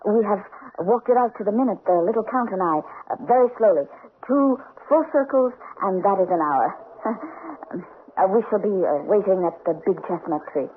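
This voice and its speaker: A woman's voice: medium pitch, animated, moderate pace, slight background noise, lightly acted. Actress as careful lady's maid, tinny old radio. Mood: attentive. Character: loyal, discreet lady's maid and confidante. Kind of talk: old-time radio drama acting.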